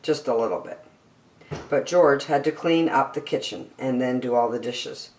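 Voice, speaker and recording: A woman hosting story time and reading a children's picture book aloud in a deliberate, expressive way for young listeners. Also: extremely low pitch. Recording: echoey room, distant microphone.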